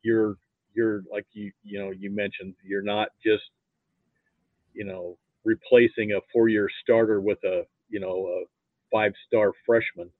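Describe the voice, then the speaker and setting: A man from Nebraska with an American accent, chatting casually as a sports fan guest in a halting, rambling, folksy way. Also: lowish voice, flat delivery, dry recording. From Nebraska, United States. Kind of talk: casual chat. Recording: thin phone-like narrow-band line.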